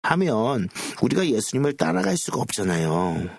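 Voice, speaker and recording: A man's voice: mid-range voice, big pitch swings, normal speed, gravelly, husky, dry recording, studio quality. A man speaking as a pastor, explaining Bible passages in a conversational lesson. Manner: explanatory, quotes scripture. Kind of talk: Bible teaching in a conversational group discussion.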